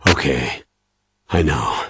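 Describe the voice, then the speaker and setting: An actor playing a stern, burdened older military commander in a video game, with a rough, husky voice. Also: male voice, a bass voice, lively intonation, slow, a bit bright, dry recording, full character voice. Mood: worried. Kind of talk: dramatic video game voice acting.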